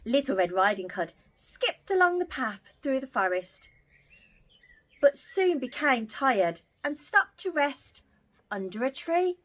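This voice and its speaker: Children's storyteller, woman: higher-pitched, very lively intonation, unhurried and theatrical, speaking to little ones. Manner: addresses young children, theatrical. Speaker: children's party entertainer and storyteller.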